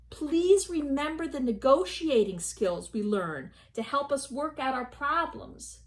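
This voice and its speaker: A woman playing a kind, earnest preschool teacher giving gentle advice to her class in a puppet show. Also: sits high.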